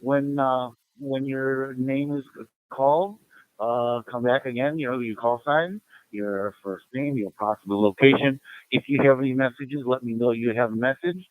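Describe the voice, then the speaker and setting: Man running a radio net, smooth voice. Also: mid-range voice, steady tone, moderate pace, dry recording, phone-quality sound. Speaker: radio net control operator. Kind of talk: informal announcements and roll call on a community radio net.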